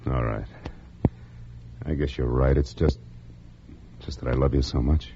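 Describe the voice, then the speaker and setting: A sulky, lovesick secret lover in an old radio play. His voice is extremely low and insistent. The recording is muffled and clearly noisy. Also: fairly even intonation, unhurried, exaggerated voice. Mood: reluctant, tender. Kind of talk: 1950s radio drama acting.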